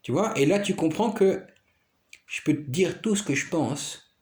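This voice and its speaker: A man's voice: on the high side, lively intonation, a little slow, a little mellow, reverberant. A man talking in a rambling monologue, repeating himself and checking that the listener follows. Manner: rambling, repeats himself, keeps asking "you understand?". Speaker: vlogger.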